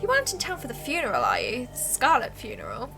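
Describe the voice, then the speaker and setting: A friendly, chatty small-town woman , read by a game streamer talks over clear background music. Her voice is a bit high, and her manner is breezy and polite, like a visual novel character meeting a newcomer. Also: huge pitch swings, fast, exaggerated voice, rough recording. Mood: friendly, curious.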